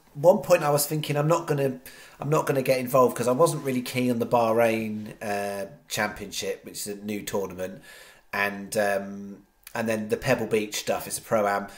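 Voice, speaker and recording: A man with a low voice, congested from a cold, chats casually to camera as a racing tipster. He rambles, circles back and restarts his sentences. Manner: rambling, many restarts and fillers. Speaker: horse racing tipster host. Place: home studio.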